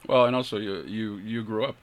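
A man hosting a radio interview in a studio, asking questions in a relaxed, easygoing way. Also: mid-range voice, racing, smooth. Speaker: radio host.

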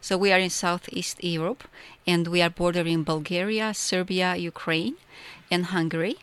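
A woman with a Romanian accent talks eagerly in a radio studio. Her voice sits a little low, and her speech is full of fillers and restarts. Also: steady tone, moderate pace, fairly bright tone, clean tone. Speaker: festival volunteer guest. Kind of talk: casual radio interview.

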